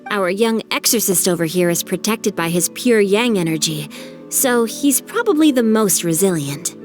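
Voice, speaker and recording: A woman's voice: mid-range voice, animated, normal speed, faint music behind, close to an everyday voice. A woman playing a refined, witty teenage boy in an anime game, speaking politely and articulately. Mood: teasing. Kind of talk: anime game dub acting.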